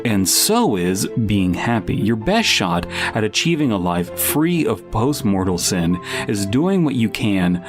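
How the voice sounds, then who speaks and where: Self-important male self-help guru, acted by a man, unhurried, lively intonation, comedy podcast segment with music behind. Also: fairly low pitch. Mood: cynical, preachy. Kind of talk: mock advice segment.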